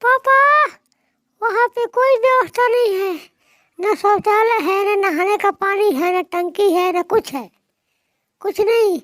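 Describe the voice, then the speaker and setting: A woman's voice: very high voice, takes it slowly, fairly bright tone, dry recording, clean studio sound, exaggerated voice. A woman voicing a stubborn, demanding young wife in a moral-story cartoon, insistent and complaining.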